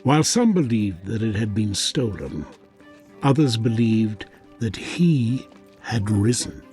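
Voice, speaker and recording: Slow, deep-voiced male narrator. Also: sing-song, a little mellow. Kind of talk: scripted narration. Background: music.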